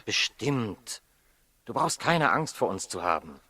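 Low-voiced, inquisitive traveler. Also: male voice, big pitch swings, takes it slowly, pushed and bright, slightly rough, no room sound, full character voice. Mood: coaxing, reassuring. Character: inquisitive, reassuring traveling stranger, wry. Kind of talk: 1960s German radio play acting.

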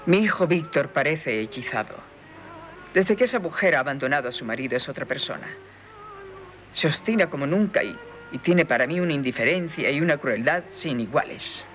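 A woman's voice: low voice, expressive, a little fast, soft background music. Anxious, strict aristocratic mother. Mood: desperate. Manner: formal, theatrical, lamenting. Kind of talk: 1970s Spanish radio drama acting.

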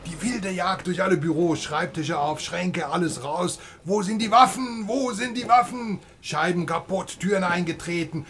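An actor playing an excitable, chatty West Berlin journalist in a radio drama, halting and exclaiming as he talks to a friend. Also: high voice. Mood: excited, breathless recounting. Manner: halting, animated, swinging from murmur to exclamation. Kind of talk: naturalistic radio drama dialogue.

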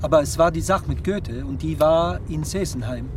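A man's voice: fairly high pitch, normal speed, natural voice. An actor playing a doubtful, inquisitive man in a radio drama, chatting in a casual, questioning way. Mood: doubtful, curious. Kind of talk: German radio drama acting. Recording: noisy vehicle and street ambience.

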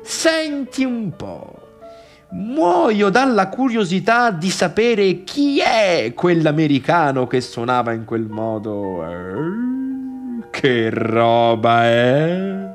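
A man's voice: fairly high pitch, very animated, extremely slow, soft background music, exaggerated voice. An actor playing an effusive, patronising middle-aged father in a radio play, with a teasing, exclamatory drawl. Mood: patronising, curious. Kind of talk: theatrical radio drama dialogue.

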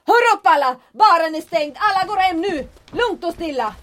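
Lively, brisk, unflappable woman bar owner acted. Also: extremely high pitch. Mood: urgent, commanding. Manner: brisk, matter-of-fact, reassuring. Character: tough, unflappable female bar owner hiding grim secrets. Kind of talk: audio drama acting.

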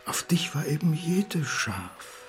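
An actor playing a nervous, sardonic man of forty-nine, wounded and over-eager, in theatrical, halting one-sided talk in a radio drama. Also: a little high, big pitch swings, soft background music. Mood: bitterly sardonic. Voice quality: husky, breathy. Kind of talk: audio drama acting.

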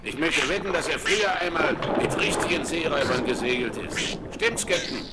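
An actor playing a crafty one-legged pirate cook who plots in a low, sly voice in a children's radio play. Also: male voice, animated, normal speed, lightly acted. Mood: jovial. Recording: noisy old vinyl radio play.

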